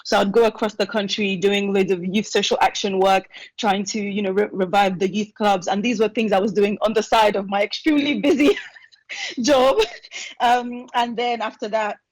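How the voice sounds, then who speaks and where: A young woman speaks over a remote call, recounting her path to a panel with a chatty, easygoing manner. Her voice sits at an ordinary middle pitch. The sound is dry and close, with no room around it. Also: no echo, low-quality recording. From born in Nigeria, raised in England. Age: young adult. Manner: chatty and warm, quick asides with light laughs. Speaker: alumna panelist. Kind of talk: personal story for a panel. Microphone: remote video call.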